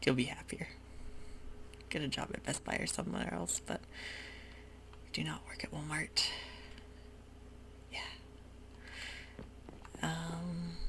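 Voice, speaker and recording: A young woman whispering casual ASMR commentary while she plays a video game, talking in a soft, rambling way. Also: low-pitched. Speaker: ASMR gaming channel host. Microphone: desk mic while gaming. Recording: rough home recording with audible hiss.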